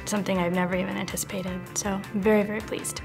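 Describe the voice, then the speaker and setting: Woman in her 30s, calm measured talk, faint music in back. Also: mid-range voice, vocal fry. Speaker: client testimonial.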